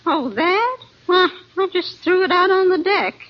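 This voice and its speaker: A chatty, motherly older woman. Her voice is high and homely, full of gentle fussing. It sounds like a muffled 1940s radio drama. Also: animated, unhurried. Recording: muffled old radio recording.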